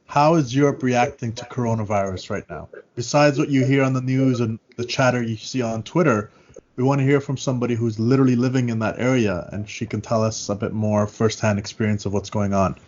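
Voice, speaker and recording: A man co-hosting a podcast, asking his guest questions in a casual, relaxed way. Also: medium pitch, brisk. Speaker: podcast co-host. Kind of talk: podcast interview. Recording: dull lo-fi call audio.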